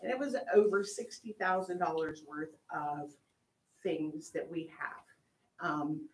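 A woman tells a story in a chatty, informal way, full of fillers. Her voice sits a bit low. She is picked up by a camera across a large, echoey meeting room. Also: steady tone, slow pace. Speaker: school staff member presenting to the board.

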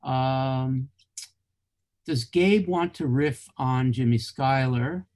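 A middle-aged man speaks through a webcam from a home office, his voice in a typical male range. He asks questions haltingly, restarting words and reaching for academic phrasing. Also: medium pitch, fairly even intonation, darkish tone. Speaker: host and interviewer. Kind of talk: reading audience questions at a reading. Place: cluttered home office, indoors. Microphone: video call webcam.

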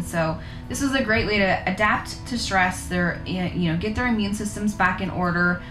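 Woman, 30s, homestead video host, casual and chatty; roomy living-room sound, background music. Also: mid-range voice. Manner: chatty, conversational, with fillers and restarts. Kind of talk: casual how-to talk to camera. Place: living room, seated on a couch.

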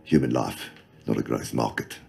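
A man's voice: very deep voice, fairly even intonation, moderate pace, gravelly, darkish tone, soft background music, full character voice. An actor playing a sardonic middle-aged male arms dealer with a drawling manner, on a film soundtrack.

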